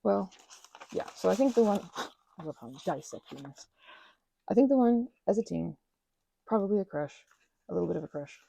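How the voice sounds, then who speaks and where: Low husky lady rambling on, lots of likes and ums, mellow dark tone. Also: expressive, fairly quick, pauses often, dry recording, rough recording. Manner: soft, meandering, many fillers and self-corrections. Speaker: ASMR channel host. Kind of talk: rambling personal chat. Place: quiet room at a craft table.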